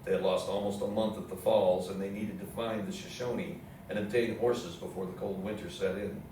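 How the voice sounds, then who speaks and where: A man's voice: sits low, fairly even intonation, moderate pace. A man reading scripted historical narration as the narrator of a stage reading. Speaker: narrator in a readers theatre play. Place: indoor theatre room with audience program. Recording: echoey hall, distant mic, faint noise.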